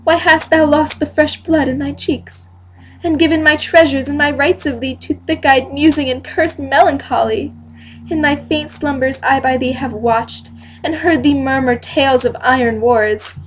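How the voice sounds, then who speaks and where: An anxious noblewoman, played by a young woman rehearsing a stage monologue, pleads lovingly with her husband in Shakespearean verse. Her voice is rather high and earnest, with faint noise behind it. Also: fairly even intonation, moderate pace, natural voice. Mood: worried, pleading. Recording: noisy amateur home recording.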